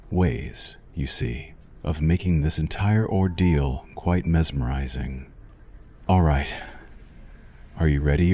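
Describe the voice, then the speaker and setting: A man's voice: very deep voice, warm tone, exaggerated voice. A man voicing a suave, possessive vampire doctor in an ASMR roleplay, hungry yet courtly, speaking in an intimate, menacingly soothing manner. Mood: hungry, coaxing.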